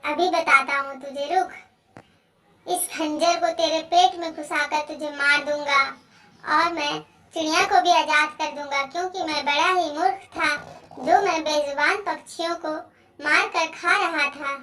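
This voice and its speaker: A woman voicing a fierce but repentant male eagle in an animated moral story. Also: high-pitched, a little slow, recorded from a distance. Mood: angry remorse. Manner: threatening, remorseful.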